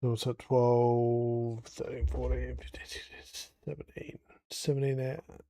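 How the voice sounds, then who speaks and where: A man's voice: medium pitch, level tone, a little slow, gravelly, dark tone. A tired, congested man chatting casually on a livestream, rambling and thinking aloud as he plays. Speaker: game streamer. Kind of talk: casual livestream chat over gameplay.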